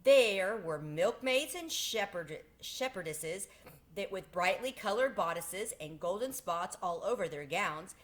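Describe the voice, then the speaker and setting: A woman's voice: medium pitch, lively intonation, unhurried. A woman reading a children's storybook aloud as a storyteller.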